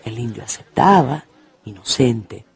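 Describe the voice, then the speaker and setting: A man narrating a dramatised radio story with theatrical, deliberate phrasing. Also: mid-range voice, expressive, creaky, slightly rough. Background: music.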